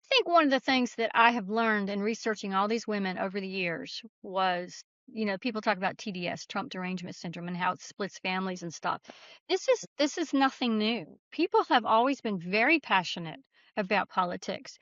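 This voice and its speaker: A woman's voice: mid-range voice, a little fast, fairly bright tone, no room sound, highs cut off. A woman interview guest explaining things conversationally, with plainspoken, folksy phrasing. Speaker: author guest being interviewed.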